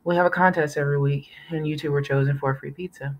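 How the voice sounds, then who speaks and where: A woman voicing a calm, quick-witted teenage boy who speaks plainly and gently. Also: low-pitched, muffled recording, close to an everyday voice. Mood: easygoing.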